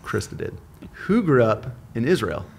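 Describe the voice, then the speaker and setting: A man hosting a podcast interview in a relaxed, upbeat, chatty way, joking with his guests. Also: mid-range voice, gravelly, a little hiss or hum. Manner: upbeat, chatty, quick to joke. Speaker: podcast host. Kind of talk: casual interview chat.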